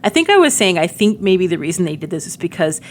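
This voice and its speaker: A woman's voice: fairly low pitch, big pitch swings, quick pace. A woman giving casual game demo commentary, chatty and informal, often thinking aloud as she goes. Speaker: gaming video creator.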